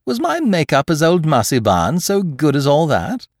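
A man voicing a suave gentleman thief in an audiobook, jaunty, mocking and grandly courteous. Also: mid-range voice, wildly swooping pitch, unhurried, gravelly, no echo, studio quality, exaggerated voice.

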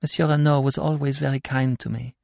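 A man's voice: mid-range voice, steady tone, fairly quick, gravelly, a little mellow, no echo, clean studio sound, pitched above the performer's own voice, natural voice. A man voicing a shy, polite, merry young woman in an audiobook. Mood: demure. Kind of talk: audiobook character voice.

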